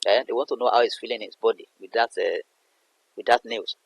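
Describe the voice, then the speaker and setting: A man's voice: lowish voice, steady tone, fast, vocal fry, slightly rough, no room sound, poor audio. A man explaining a poem as a literature teacher, in a didactic way that repeats and rephrases points. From Nigeria. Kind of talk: explanatory poetry analysis lesson.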